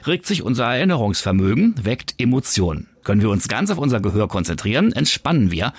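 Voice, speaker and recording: A man's voice: medium pitch, sing-song, fast talker, breathy edge, no room sound, clean studio sound. A man hosting a podcast and reporting in scripted narration.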